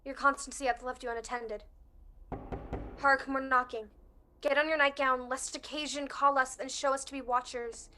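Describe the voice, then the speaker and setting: Young woman acting scornful noblewoman, high forceful voice, commanding, student Shakespeare verse. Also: fairly even intonation, moderate pace, soft background music. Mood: brisk, controlled. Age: young adult. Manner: commanding, emphatic verse delivery. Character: steely, scornful noblewoman, commanding accomplice to murder. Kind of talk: amateur student Shakespeare performance.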